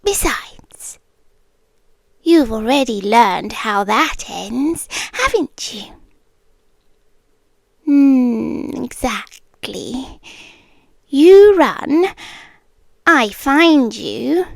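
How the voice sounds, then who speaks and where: Female actor as yandere scorpion woman, British accent, high lilting pitch, very expressive, possessive, teasing, half-whispered ASMR roleplay. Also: very slow, gravelly, pauses often, no echo, studio quality, full character voice. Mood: taunting, calm.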